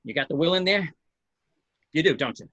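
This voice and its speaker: A man's voice: a little high, lively intonation, brisk, pushed and bright, slightly rough, highs cut off, exaggerated voice. A man playing a nervous cartoon studio boss who pleads urgently in an amateur script read. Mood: desperate pleading.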